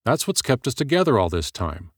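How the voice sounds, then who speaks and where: A man's voice: mid-range voice, sing-song, brisk, slightly rough, no room sound, studio quality, voice pushed up. A man voicing a persistent, pushy man who keeps calling. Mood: insistent. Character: persistent, intense man, obsessive caller.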